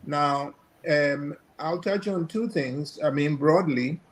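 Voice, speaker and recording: An older man from Ghana, a guest professor giving measured, hesitant commentary. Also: fairly high pitch. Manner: measured, hesitant with many fillers.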